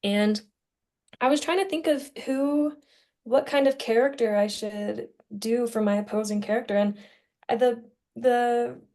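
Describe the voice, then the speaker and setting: A woman's voice: a little high, measured pace, dark tone. A young woman talking casually as co-host of a student podcast discussion. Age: young adult.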